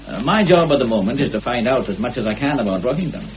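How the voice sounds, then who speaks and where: Man acting village inspector, middle-aged, affable, probing, politely hesitant, animated intonation, faint hiss, British radio drama. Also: medium pitch, fast, natural voice. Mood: probing, mild. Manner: hesitant, polite, mild questioning with small hums. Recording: dull, hissy old radio drama recording.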